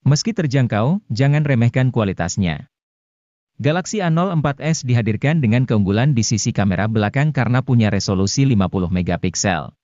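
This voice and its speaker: A man's voice: mid-range voice, animated, fairly quick, a little mellow, lo-fi sound. A man with an Indonesian accent reading a scripted gadget review in a formal, informative way, as a voice-over close to the microphone. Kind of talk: scripted narration for a product review.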